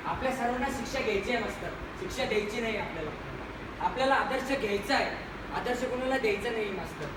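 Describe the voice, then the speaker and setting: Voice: medium pitch, lively intonation, quick pace, close to an everyday voice. An actor playing a bold, earnest schoolchild, declaiming with repeated phrases in an amateur stage play. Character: bold, earnest schoolchild who idolises the teacher. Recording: echoey hall from far off, noisy.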